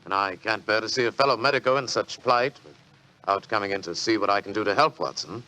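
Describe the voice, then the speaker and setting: Courteous, bright, deepish police surgeon. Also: male voice, quick pace, rough recording. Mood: regretful. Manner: formal, polite. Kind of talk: 1940s radio drama acting.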